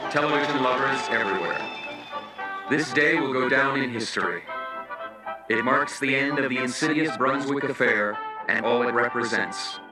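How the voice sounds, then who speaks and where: An actor playing a pompous male government official giving an oratorical speech in an animated film. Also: mid-range voice, natural voice. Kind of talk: public address. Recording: echoey, distant address.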